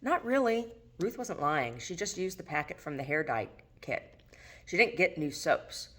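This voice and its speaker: A woman's voice: sits low, animated, moderate pace, fairly bright tone. A woman narrating her own work of fiction as an audiobook reading. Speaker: author reading own novel aloud.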